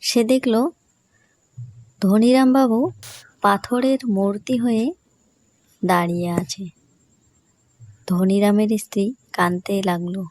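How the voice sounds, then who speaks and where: Medium-pitched woman narrating a fairy tale. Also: steady tone, slow, pauses often, a little mellow, no echo, low-quality recording.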